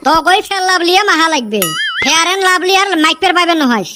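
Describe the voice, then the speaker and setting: A woman's voice: very high voice, animated, fast, loud music behind the voice. A woman doing a sly, teasing village man. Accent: Rangpur dialect. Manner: teasing, scheming chatter. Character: sly male village friend, scheming and teasing. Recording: noisy homemade recording.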